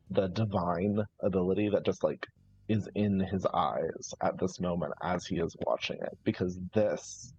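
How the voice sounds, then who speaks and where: Slow, low-voiced man narrating roleplay. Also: a little mellow, husky, dull audio. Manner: halting, searching, thoughtful phrasing. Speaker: tabletop roleplaying player. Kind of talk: improvised in-character narration, live play.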